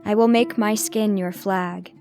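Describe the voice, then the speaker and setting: Mid-pitched woman reciting lyrical poetry. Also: fairly even intonation, measured pace, a little mellow, faint music behind. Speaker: spoken-word performer.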